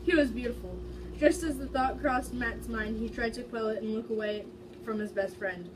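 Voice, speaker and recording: A woman's voice: a little high, normal speed. A woman giving a dramatic amateur reading of fan fiction in a casual way, now and then stumbling over words. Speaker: amateur reader. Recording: distant mic, faint background noise.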